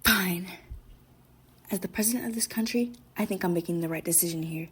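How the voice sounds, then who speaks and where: Husky, resigned president played by a woman. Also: on the low side, fairly quick, fairly bright tone. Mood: resigned, decisive. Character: resigned male president announcing a firm decision. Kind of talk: amateur puppet show acting.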